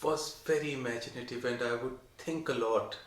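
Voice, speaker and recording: A young adult man from Pakistan, answering his wife's questions in a soft, hesitant way with many pauses. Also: mid-range voice. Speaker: interviewee. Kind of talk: casual chat. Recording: echoey room.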